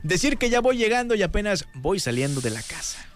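A man with a Mexican accent hosts a relaxed radio chat, joking with his co-host. He reads listener messages aloud in a voice pitched a little above the usual male range. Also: brisk. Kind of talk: casual radio banter.

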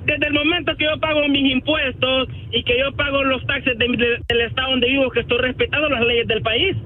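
A man's voice: extremely high pitch, steady tone, a little fast, slightly rough. A man from Honduras, calling in to a radio show and defending himself. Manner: defensive, insulting back. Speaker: caller. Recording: tinny phone line.

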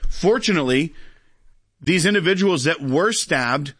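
A man's voice: a little high, expressive, a little slow, fairly bright tone, slightly rough, dry recording. A man giving pointed political commentary on a talk show.

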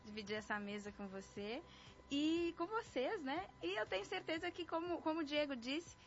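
A woman with a Brazilian accent and a hoarse voice, chatting casually as a guest on a radio show. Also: sits high, animated, moderate pace. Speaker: radio guest, church music leader. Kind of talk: casual conversation. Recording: muffled band-limited sound, faint music.

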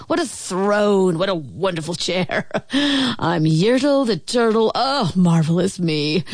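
A woman's voice: medium pitch, dry recording, clean studio sound. A woman voicing a pompous, bossy male turtle king, barking and bellowing in a storybook read-aloud. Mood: exultant.

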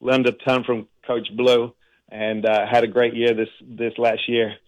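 Coach, flat even pitch, earnest guest on a radio call. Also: male voice, measured pace, muffled recording. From England. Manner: grateful, earnest, flowing storytelling. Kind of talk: radio interview answers.

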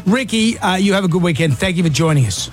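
Animated Australian man hosting radio banter. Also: high-pitched, fast, faint music behind. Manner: playful, teasing. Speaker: radio host. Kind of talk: bantering radio quiz show talk.

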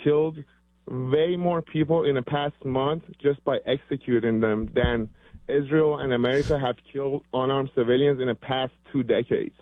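A man's voice: mid-range voice, fairly even intonation, normal speed, dry recording. A man who grew up in Iran, calling into a radio show, fervent and earnest. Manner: earnest, fervent, uses comparisons. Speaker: caller. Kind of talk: phone-in call. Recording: dry phone line.

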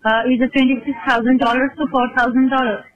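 A woman telemarketer on a sales call, speaking hesitantly and haltingly. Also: sits high, flat delivery. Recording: dull phone line.

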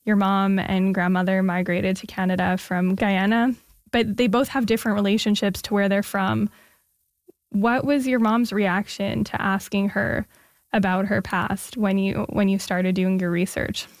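A woman hosts an interview program, opening with a polished introduction before settling into relaxed questions. She speaks into a close, dry studio mic at a middle pitch. Her questions drift in a casual "like" now and then. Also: a little slow, no echo. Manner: friendly, uses filler "like" in questions. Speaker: radio show host.

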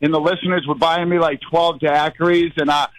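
Rambling, higher-voiced male radio caller. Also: little pitch movement, a little fast, no echo, low-quality recording. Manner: effusive, digressive. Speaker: regular caller to a sports radio show. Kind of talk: rambling casual fan call.

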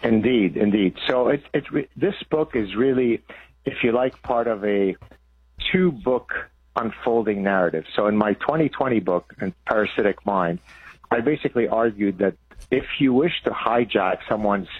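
A man's voice: fairly low pitch, normal speed, breathy edge, dry recording. A man in his sixties from Lebanon, explaining things as an interview guest, over a narrow phone-like line. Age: 60s. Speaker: interview guest, professor and author.

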